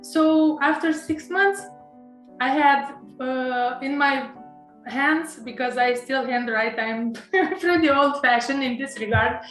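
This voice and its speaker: A woman's voice: high-pitched, slow pace, fairly bright tone, faint music behind. Hesitant Romanian-accented woman, echoey room. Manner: hesitant, many fillers and restarts, nervous laughter. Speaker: author interviewed as guest. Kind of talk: casual interview conversation.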